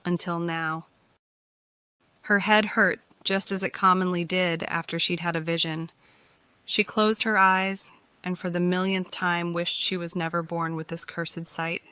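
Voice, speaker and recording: A woman's voice: fairly low pitch, steady tone, measured pace, bright, forceful tone, clean tone, dry recording. A woman reading scripted fiction narration for an audiobook.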